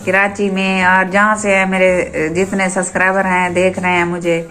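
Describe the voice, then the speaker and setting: Woman, hoarse, sore throat, home vlog, chatty, gives blessings, from Pakistan. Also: on the low side, monotone, normal speed, echoey room, faint music behind. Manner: chatty, addresses viewers warmly with blessings. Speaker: vlogger and mother. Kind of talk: casual home vlog chat. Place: inside a home.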